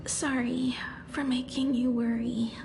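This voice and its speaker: Frail, high-voiced young woman inventor. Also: flat delivery, a little slow, vocal fry, background noise. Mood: wistful, cheerful. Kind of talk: anime-style game voice acting.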